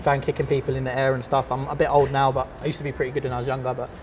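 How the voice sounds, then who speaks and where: A man, an artist and teacher chatting casually in an interview, relaxed and full of fillers and restarts. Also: mid-range voice, racing, soft background music. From London.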